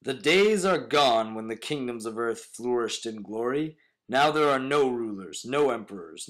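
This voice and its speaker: A man's voice: medium pitch, poor audio. A young man, a student reader, reciting a solemn poem from a text. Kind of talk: poetry recitation.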